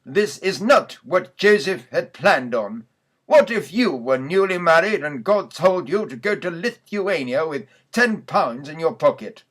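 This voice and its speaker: A man's voice: a little high, fairly bright tone. A man reading a scripted mock news bulletin as the newsreader in a church sketch.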